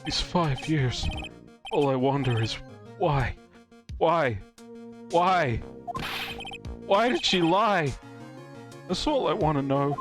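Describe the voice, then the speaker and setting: A man voicing an anguished, betrayed convict who speaks halting, clipped broken English in a live game dub. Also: on the high side, lively intonation. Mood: anguished, wounded. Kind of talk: live fan dub of a visual novel game. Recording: music under the voice.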